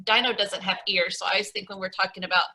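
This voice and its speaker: A woman's voice: mid-range voice, steady tone, fast talker, sharp tone, a little hiss or hum. A woman hosting an online teacher meetup over a video call, talking in a chatty, rambling way with many fillers and restarts. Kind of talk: casual video call chat.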